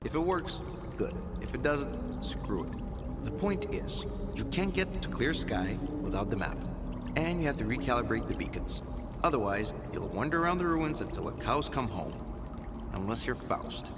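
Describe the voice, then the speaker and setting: An actor playing a shady, foul-mouthed and weary male swamp guide in a video game, with gruff, slangy, grumbling delivery. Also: medium pitch, very animated, normal speed, long reverb tail, very noisy, lightly acted. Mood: dismissive. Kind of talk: video game cutscene acting.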